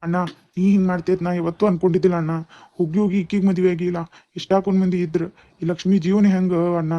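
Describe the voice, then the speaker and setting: A woman's voice: on the low side, little pitch movement, fast talker, dark tone, highs cut off, close to an everyday voice. A woman playing a concerned, earnest grown child in a family drama, speaking with a North Karnataka accent. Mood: placating.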